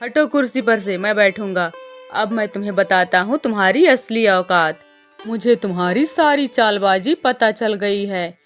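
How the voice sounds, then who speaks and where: A woman plays a bossy male vulture in a cartoon tale. He speaks sternly and commandingly, at a measured pace, with music behind. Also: mid-range voice, lightly acted. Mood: angry, threatening. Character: bossy, threatening male vulture, a demanding customer. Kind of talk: children's cartoon story voicing.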